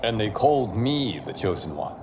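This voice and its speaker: An actor playing a wise, serene monk guardian who speaks in a formal, measured way. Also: male voice, lowish voice, very animated, background noise. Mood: solemn. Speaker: leader of the champions.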